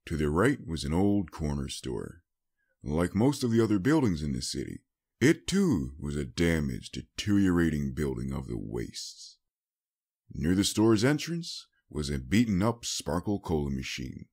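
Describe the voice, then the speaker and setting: A man narrates a scripted story in a dramatic audiobook style. His voice sits very low, and his pitch moves in a lively, expressive way from phrase to phrase. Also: slightly rough.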